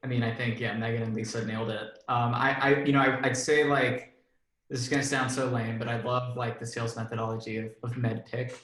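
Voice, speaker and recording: A man's voice: medium pitch, little pitch movement, fairly quick, a bit bright, echoey room, low-quality recording. A man on a career panel, talking casually with lots of fillers and a self-deprecating tone. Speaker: panelist, enablement professional.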